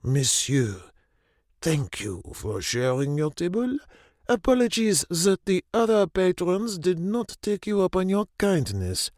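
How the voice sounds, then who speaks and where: Audio drama role: obsequious head waiter, very animated delivery, measured pace, close dry sound. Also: male voice, sits high, no room sound. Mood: fussily apologetic. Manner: formal, flourishing, deferential. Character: fussy, theatrical French-style maître d', obsequiously polite.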